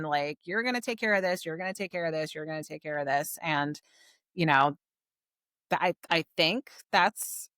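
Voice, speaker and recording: A woman's voice: on the low side, pushed and bright, clear, resonant tone, no echo, studio quality. A woman co-hosting a podcast, casually recapping and discussing a book in an informal, explanatory way. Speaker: podcast co-host.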